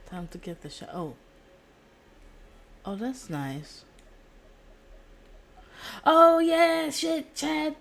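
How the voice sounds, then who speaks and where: Slow-paced woman streamer, casual and rambling. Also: sits high, sing-song, vocal fry, fairly bright tone, slight background noise. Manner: giggly, rambling. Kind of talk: casual livestream game commentary. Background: video game audio.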